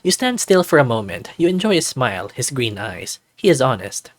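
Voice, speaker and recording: A narrator reading a visual novel aloud in an expressive, playful way, with bits of casual commentary. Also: medium pitch, normal speed, dry recording. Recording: dry recording with music behind.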